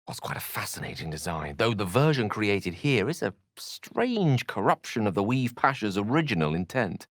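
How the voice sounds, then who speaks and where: Eloquent, animated wizard, low-voiced. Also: male voice, normal speed, hardly any pauses, no echo, clean studio sound. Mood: musing, scholarly. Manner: eloquent, wry, theatrical, bookish phrasing. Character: learned, witty, self-assured male wizard adventurer. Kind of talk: video game character voice acting.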